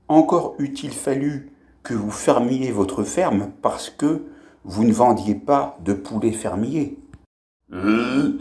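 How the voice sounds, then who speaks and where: Slow husky man telling puns. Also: fairly low pitch, a little mellow, a little hiss or hum. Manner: slow, punning delivery with laughs at his own jokes. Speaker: comedian telling pun jokes. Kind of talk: improvised wordplay monologue.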